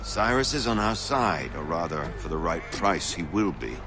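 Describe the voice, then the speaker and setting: Colonial rebel mentor, lowish voice, affable, wry, instructive, video game drama, music behind. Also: male voice, sing-song, normal speed. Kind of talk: video game cutscene dialogue.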